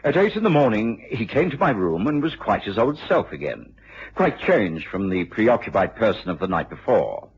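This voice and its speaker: An English actor playing a courteous gentleman doctor and storyteller in a vintage radio drama. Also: lowish voice, big pitch swings, normal speed, a little hiss or hum. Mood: measured storytelling. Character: Doctor Watson, courteous English gentleman narrator, easily astonished. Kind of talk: 1950s BBC radio drama acting and narration. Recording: dull vintage radio recording, music.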